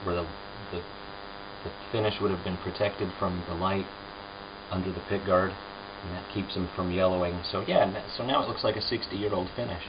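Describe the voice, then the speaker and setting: A man casually explaining guitar repair work in a halting, unscripted, plain-spoken way, as a craftsman hosting his own demonstration. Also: low voice, steady tone. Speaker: guitar repairer and restorer, host. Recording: dull lo-fi sound with hum and noise.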